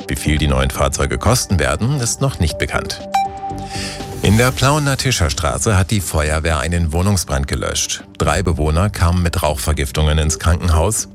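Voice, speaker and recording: Deep calm guy reading the morning news on radio, music under it. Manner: calm, measured, formal. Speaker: newsreader.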